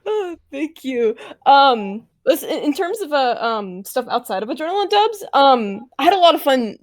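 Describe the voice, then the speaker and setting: A woman's voice: high-pitched, sing-song, normal speed. A woman speaking casually as a voice actor on an online panel, gushing a little and often hesitating. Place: online panel over voice call.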